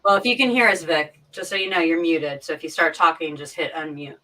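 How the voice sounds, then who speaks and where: A woman with a fairly low but sharp, bright voice chats casually on a livestream. She explains things informally, repeating phrases as she goes, and sounds set back from her microphone. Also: fairly quick. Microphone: boom mic, sitting back from it. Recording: distant from the microphone.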